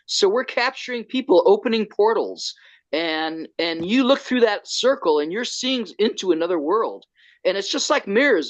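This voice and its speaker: A man running a conference, talking excitedly and rambling with lots of restarts over a video call. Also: high voice. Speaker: conference organizer. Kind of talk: casual video call chat. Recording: dull lo-fi call audio.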